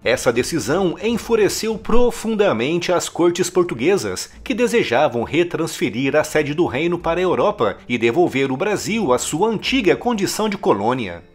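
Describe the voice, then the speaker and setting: A man reading a scripted history documentary narration in a measured, emphatic storytelling manner. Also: on the high side, slightly rough.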